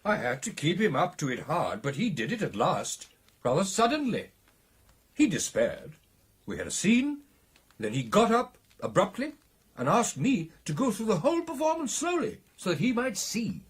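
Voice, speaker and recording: An actor playing an excitable British gentleman recounting a ghostly encounter in a radio drama, with theatrical, animated delivery. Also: high-pitched, normal speed, breathy edge, full character voice. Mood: excited recounting. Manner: theatrical, animated storytelling with exclamations and hesitations.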